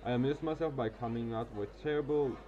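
A man's voice: mid-range voice, brisk, highs cut off. Casual man reading a game aloud. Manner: casual, stumbling over words. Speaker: gaming youtuber. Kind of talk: reading a visual novel aloud. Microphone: desk mic, webcam.

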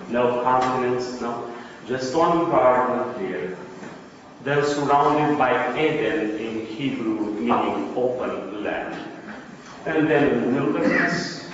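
A man's voice: mid-range voice, a little hiss or hum. A man with a Romanian accent giving an academic lecture, explaining step by step and answering his own questions. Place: lecture room. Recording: distant, echoey, dull lecture hall recording.

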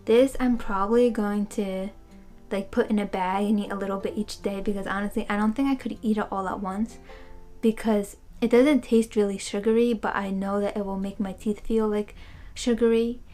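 A woman's voice: mid-range voice, steady tone, moderate pace, mellow, soft background music. A young woman chatting casually through a snack taste test, thinking aloud with lots of fillers, recorded on a webcam in a small room. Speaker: vlogger reviewing snacks. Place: small room, seated on a couch.

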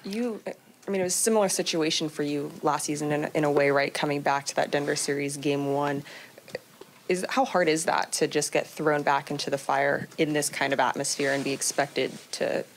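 A woman reporter asks questions at a press conference. Her voice is low, and she speaks plainly and directly. There is faint noise behind her. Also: steady tone, normal speed.

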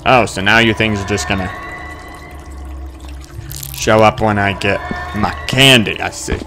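A man's voice: lowish voice, a little slow, background noise. A man giving casual let's-play commentary, muttering asides and bursting into sudden exclamations, as host of a gaming video. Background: game music and sound effects.

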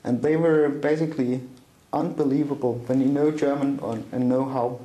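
A man's voice: mid-range voice, fairly even intonation, moderate pace, dark tone, reverberant, slight background noise, poor audio. A middle-aged man with a Danish accent recounting a personal story in an interview, with many fillers and restarts. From Denmark. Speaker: interview guest. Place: small room indoors, seated at a table.

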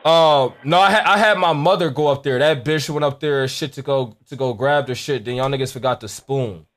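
A man's voice: mid-range voice, fairly quick, fairly bright tone. A young American man making a prank call in brash, slangy, confrontational banter, swearing and repeating himself. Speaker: prank caller and streamer. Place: indoor gaming setup.

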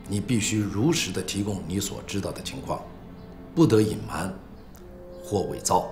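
Low-voiced, solemn veteran prosecutor. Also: male voice, expressive. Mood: formal, stern. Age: middle-aged. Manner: measured, formal, grave. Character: veteran male prosecutor near retirement, solemn and steady. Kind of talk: restrained screen drama acting. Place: small prison interview room. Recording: echoey room with music behind.

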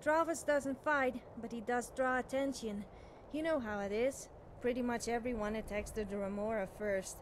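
High-voiced eager young witch played by an actress. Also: normal speed, a little hiss or hum, natural voice. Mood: eager, nervous. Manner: chatty, flustered, self-doubting. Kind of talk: video game character dialogue.

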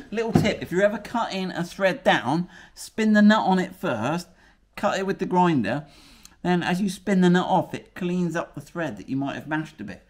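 A middle-aged British man chatting casually as he works in a workshop garage, explaining his fix step by step and joking at himself. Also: a little high. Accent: British English. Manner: chatty and self-mocking, thinking aloud. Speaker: workshop presenter. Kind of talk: casual how-to chat while working.

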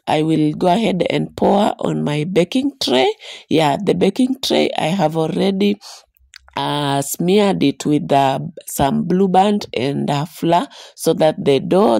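A woman, hoarse from a bad cold, talking viewers through a baking recipe with many fillers and restarts. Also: sits low, mellow, dry recording, clean studio sound. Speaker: baking channel host. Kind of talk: voice-over for a cooking video. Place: voice-over recorded separately, dry room.

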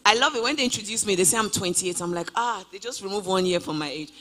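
A woman's voice: mid-range voice, quick pace, a bit bright. Young woman chatting upbeat on stage. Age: 20s. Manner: upbeat, many "you know" fillers. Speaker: guest traveler. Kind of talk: casual interview answers on stage. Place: large church auditorium stage. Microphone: handheld mic.

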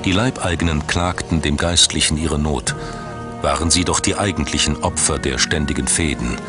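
Male documentary narrator, low register, measured dramatic storytelling over prominent music. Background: background music.

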